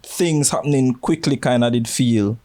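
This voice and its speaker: A Jamaican man in his thirties chatting easily as a podcast co-host. His voice sits at an ordinary middle pitch. He drifts between patois and standard English and laughs a lot. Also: moderate pace, a little mellow. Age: 30s. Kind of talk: casual chat.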